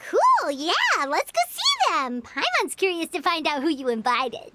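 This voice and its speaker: A woman voicing a tiny, feisty, childlike fairy sidekick in a video game, squeaky and excitable, with noise behind her. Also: a little slow, exaggerated voice. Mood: cheerful. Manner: speaks of herself in third person, excitable. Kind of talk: cartoonish video game acting.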